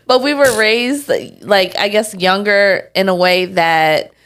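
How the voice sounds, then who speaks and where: Young adult woman, casual podcast co-host; relaxed, filler-heavy, quick to laugh. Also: mid-range voice, clean tone. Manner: laughs often, chatty with many fillers. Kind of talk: casual chat.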